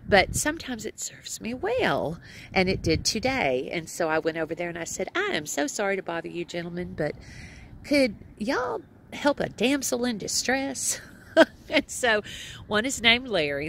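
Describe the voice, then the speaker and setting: Texan woman, forties, bright and forceful, low-ish voice, chatty selfie vlog outdoors, faint ambient noise. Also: big pitch swings, moderate pace. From Texas. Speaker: RV travel vlogger. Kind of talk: casual selfie vlog chat. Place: outdoors at an RV campground. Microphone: phone camera at arm's length.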